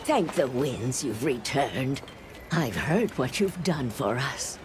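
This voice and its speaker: A woman playing a gracious, dignified village elder in a video game, speaking in a measured, solemn, formal way. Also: rumbling low, expressive, background noise, full character voice. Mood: grateful, solemn. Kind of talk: video game cutscene acting.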